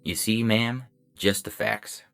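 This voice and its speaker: A man voicing a polite, officious robot lawman with a deadpan, clipped delivery in a science fiction audio story. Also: lowish voice, slow, creaky, noisy background, voice pushed up. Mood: coolly officious. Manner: deadpan, clipped, matter-of-fact. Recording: music bed under the voice.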